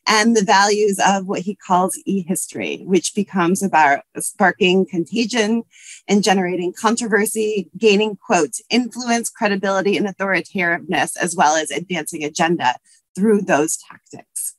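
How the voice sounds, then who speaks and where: A woman's voice: mid-range voice, normal speed, no room sound. Female academic, 30s, scratchy allergy voice, earnest with fillers, dry webcam sound. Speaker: history professor and panelist. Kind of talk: prepared remarks on a video call. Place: office with bookshelves. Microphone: webcam video call.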